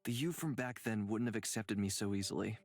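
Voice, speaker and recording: An actor playing a gentle, reflective man weighed down by guilt, speaking in a measured, earnest way for a visual novel game. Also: on the low side, soft background music. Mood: tender.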